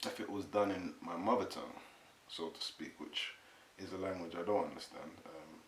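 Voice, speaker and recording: Deep-voiced British man in a roomy space. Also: moderate pace, fairly bright tone. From England. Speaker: host, interviewer. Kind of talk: casual interview chat. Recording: roomy echo, faint hiss.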